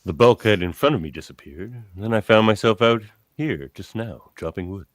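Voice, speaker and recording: A man playing a brisk, commanding older starship captain in an amateur radio play, with theatrical emphasis. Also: lowish voice, no echo, natural voice. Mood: reflective, offhand.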